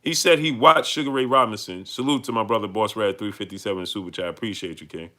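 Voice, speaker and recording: A man with a New York accent hosts a live stream, greeting his viewers and answering their messages. His voice is bright and forceful, and he hammers his points by repeating them. He climbs to loud, heated peaks. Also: fairly low pitch, quick pace. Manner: emphatic, repeats lines, rises to heated peaks. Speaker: livestream host. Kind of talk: live-stream chat, reading super chats.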